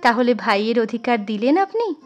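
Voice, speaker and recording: A woman's voice: on the high side, big pitch swings, normal speed, voice pushed down. A woman voicing a polite, bashful, affectionate young schoolteacher in a storybook reading. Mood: earnest, hopeful. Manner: polite, eager, slightly shy. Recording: music under the voice.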